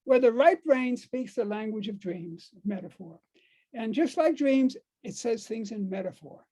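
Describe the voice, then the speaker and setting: High-pitched, mellow older American man. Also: animated. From United States. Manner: halting, repeats words and phrases for emphasis. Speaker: speaker on dream work. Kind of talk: informal lecture to a group.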